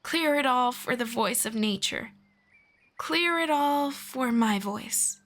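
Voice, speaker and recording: Woman voicing a soft-spoken ageless elf mentor. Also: fairly high pitch. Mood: gentle, soothing. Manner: soft-spoken, slow and patient, drifting into whispers. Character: gentle ageless female elf mentor, patient and kind. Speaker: voice actor. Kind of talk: ASMR roleplay.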